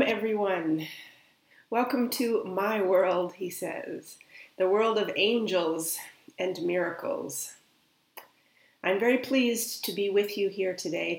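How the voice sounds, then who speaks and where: A woman speaking as a spiritual medium, gently and unhurriedly relaying another's words in a guided meditation. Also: medium pitch, roomy sound, poor audio.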